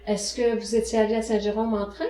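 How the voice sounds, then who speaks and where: A woman's voice: on the high side, flat delivery, a little fast, dark tone. A woman, leading a group interview with polite questions. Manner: polite, brief thanks and prompting questions. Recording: echoey room.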